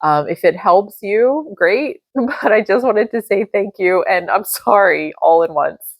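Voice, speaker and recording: A woman's voice: mid-range voice, animated, a little slow, no echo. A middle-aged woman hosting her own podcast from her dining room, chatting in a rambling way with lots of fillers, a little nasal and congested. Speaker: podcast host. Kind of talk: casual solo podcast chat.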